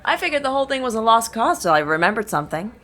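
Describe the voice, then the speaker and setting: Cocky, animated female bounty hunter played by an actress. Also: fairly high pitch, racing. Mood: sly, teasing. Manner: sarcastic, blunt, teasing. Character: tough, cocky, sardonic female mercenary-turned-bounty hunter. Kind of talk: audio drama acting.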